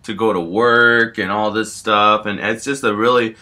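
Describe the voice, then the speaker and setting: A man voicing a calm talking fish statue who tells stories in a rambling, hesitant, improvised way. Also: lowish voice, normal speed, pitched below the performer's own voice. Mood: measured. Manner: rambling, hesitant, filler-heavy. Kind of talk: improvised storytelling.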